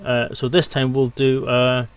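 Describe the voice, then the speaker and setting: A man's voice: mid-range voice, completely flat delivery, a little slow, clear, resonant tone, dry recording. A man hosting a casual livestream, sweary and teasing as he talks. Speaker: stream host.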